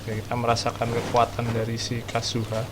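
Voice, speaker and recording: A man's voice: mid-range voice, completely flat delivery, quick pace, heavy background noise. A man with an Indonesian accent giving casual, informal gameplay commentary as a streamer, mixing in English words. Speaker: game streamer. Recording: clear music behind the voice.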